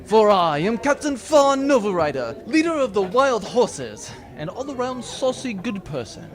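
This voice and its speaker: A man's voice: high voice, very animated, measured pace. A man playing a boastful, swashbuckling space captain in a comic audio drama, pompous, theatrical and very pleased with himself. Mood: boastful, dramatic. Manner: pompous, theatrical, self-satisfied.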